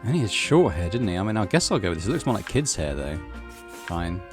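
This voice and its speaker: Low-voiced man reading a horror game aloud. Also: fast talker. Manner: dramatic, animated reading. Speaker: gamer reading a visual novel aloud. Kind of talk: let's play, expressive story narration. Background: game music.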